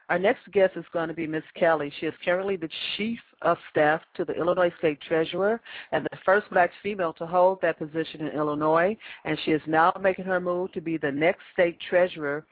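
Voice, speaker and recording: Man, radio host, formal announcer, loud, higher voice, phone-line sound. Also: no room sound. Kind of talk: announcing a radio show segment. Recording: tinny phone line with faint music.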